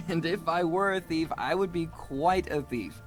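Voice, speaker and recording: A woman's voice: fairly low pitch, slow pace, faint music behind. An actress playing a friendly, chatty young woman puppeteer in a video game, with a teasing manner. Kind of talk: video game character dialogue.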